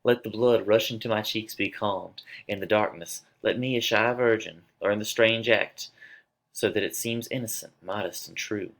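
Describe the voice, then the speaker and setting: A man's voice: lowish voice, moderate pace, a bit bright. A man playing a lovesick young girl in a dramatic reading of a tragedy, declaiming with open emotion. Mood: yearning. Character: lovesick young girl, impatient, then anguished and grieving.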